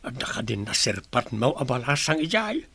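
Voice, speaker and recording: A man's voice: mid-range voice, very animated, normal speed, pushed and bright, breathy edge, no echo, exaggerated voice. An actor playing an eager, nosy older village father in a radio comedy drama, with an Ilocano accent. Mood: curious, eager.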